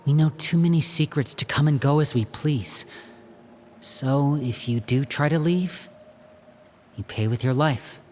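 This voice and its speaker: A man voicing a young stage magician in a game who tells stories smoothly and turns grave when he speaks of the rules. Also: measured pace, darkish tone, a little hiss or hum, lightly acted. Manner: smooth storyteller, turns serious and low. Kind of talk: game voice acting.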